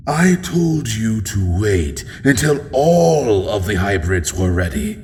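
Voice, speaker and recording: A man playing a stern old villain father in an audio drama, measured and disapproving. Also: on the low side, very animated, darkish tone, in a large hall, a little hiss or hum. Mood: scolding.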